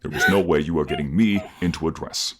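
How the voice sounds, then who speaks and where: A male actor voices a gruff warrior god in a fantasy audio drama. His pitch sits deep, and he speaks bluntly, with the wounded pride of a hot-headed fighter. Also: fast talker, slight background noise. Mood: grudging. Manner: blunt, indignant. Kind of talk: audio roleplay acting.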